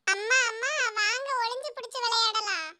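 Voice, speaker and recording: Woman as a tiny squeaky cartoon animal, very high. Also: normal speed, pushed and bright, full character voice. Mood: happy. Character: small cartoon animals: orphaned mouse child, caring mother bird. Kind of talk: cartoon moral story dialogue.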